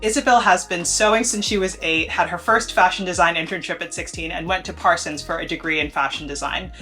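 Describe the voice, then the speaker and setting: A woman's voice: fairly low pitch, a little fast, sharp tone, faint music behind. An enthusiastic young woman chatting on her sewing vlog, a little congested from allergies. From United States. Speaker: sewing vlogger. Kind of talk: casual upbeat vlog talk.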